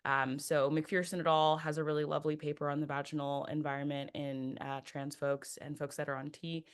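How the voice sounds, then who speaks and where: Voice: medium pitch, flat delivery, a little fast, clean tone. Researcher explaining with frequent ums. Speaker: researcher panelist. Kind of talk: webinar research presentation.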